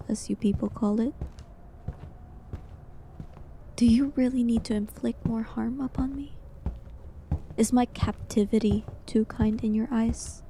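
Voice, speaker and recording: A woman playing a gentle, sorrowful village herbalist condemned as a witch, speaking in a hushed, halting way in a dramatic audio roleplay. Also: on the high side, a little hiss or hum, close to an everyday voice. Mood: frightened, pleading. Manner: hushed, breathy, halting delivery.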